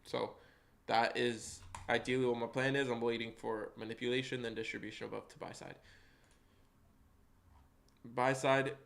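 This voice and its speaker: A man live-streaming day-trading commentary, hoarse and losing his voice, who chats with viewers and walks through charts in a casual way. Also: medium pitch, a bit bright. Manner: chatty, greets chat viewers by name.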